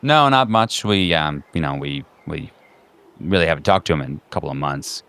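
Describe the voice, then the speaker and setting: A man's voice: extremely low pitch, expressive, normal speed, a bit bright, clean tone, no room sound, heavily acted. A man playing an awkward, worried suburban neighbor in his thirties in a tabletop game, hesitant and rambling. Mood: guarded, rambling.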